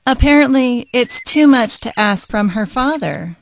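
A woman's voice: fairly high pitch, animated, unhurried, slight background noise. A woman playing an irritable, cutting divorced mother in an audio drama, curt and scolding. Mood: sarcastic, bitter. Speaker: voice actor.

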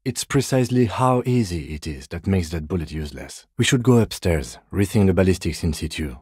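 An actor playing a composed, weary police detective in a video game, with dry, deadpan, measured delivery. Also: male voice, deep voice, husky, no room sound. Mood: dry, restrained.

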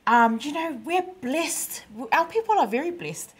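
A woman's voice: high voice, lively intonation, unhurried. A woman, hosting a casual studio chat with many fillers, close to a desk mic. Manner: warm, chatty, many fillers. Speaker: talk show host. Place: small indoor studio. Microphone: headset with desk mic.

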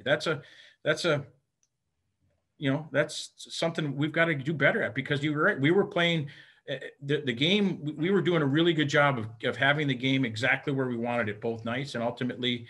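A middle-aged man, a hockey head coach, answering postgame questions frankly over a video call, with halting restarts and many fillers. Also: fairly high pitch, fairly bright tone, husky. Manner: plain-spoken, frank. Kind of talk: postgame press conference answers. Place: indoor room in front of team backdrop. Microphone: webcam on video call.

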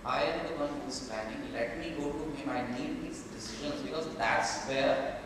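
A man's voice: mid-range voice, moderate pace. A man in his forties with an Indian English accent, giving a conversational keynote talk on a conference stage, with frequent fillers and restarts. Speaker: keynote speaker. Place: large conference hall on stage. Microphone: lapel mic, far camera. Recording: echoey hall, from far off, audible room noise.

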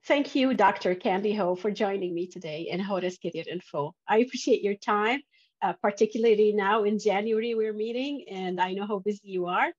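A woman's voice: medium pitch, fairly quick. A middle-aged woman interviewing a guest conversationally as host, recorded over a video call. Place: indoor room, video call. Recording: muffled band-limited video call audio.

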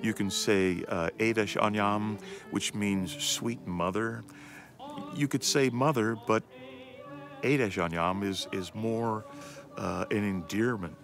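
A man's voice: lowish voice, slow pace, vocal fry. A man in an interview recounting his grandfather's story in an anecdotal way. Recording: interview with music underneath.

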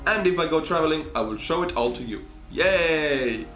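A man's voice: fairly high pitch, moderate pace, reverberant, soft background music. A young man in his twenties with a thick Estonian accent, chatting animatedly to camera in a casual vlog from his bedroom. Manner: animated, big hand gestures, bursts of excitement. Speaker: YouTuber. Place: small bedroom indoors. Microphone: camera on desk.

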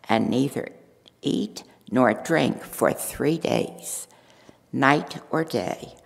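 Queen Esther tells her own story with quiet resolve, as an older woman reads her part from a lectern. The voice sits low and gravelly, unhurried, and the words ring on in a long church reverb. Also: exaggerated voice. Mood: resolute. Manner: reads steadily from a script, earnest. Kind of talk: first-person dramatic monologue read in church. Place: large church sanctuary. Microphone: stand mic at a lectern.

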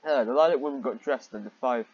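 Male reader, husky mid-pitched voice, sarcastic and exasperated, swears freely; dull home recording. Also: fast, darkish tone. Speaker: reader and commentator of fan fiction. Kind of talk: reading fan fiction aloud with mocking asides.

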